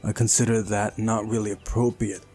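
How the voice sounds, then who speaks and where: A man's voice: on the low side, little pitch movement, normal speed, mellow, slightly rough. A man hosting a visual novel playthrough, reading narration aloud and adding wry, casual asides. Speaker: video game playthrough host. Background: faint music.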